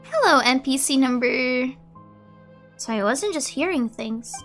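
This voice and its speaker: Casting: female streamer, bright forceful tone, casual teasing reactions to gameplay, faint music. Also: high-pitched, animated, a little slow. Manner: playful, mock-dramatic. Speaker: gaming streamer.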